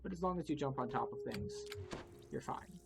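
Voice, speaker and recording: A man's voice: a little high, expressive, normal speed. A man giving casual, wry, explanatory commentary as he guides a video game playthrough. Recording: game music behind the voice. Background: video game music.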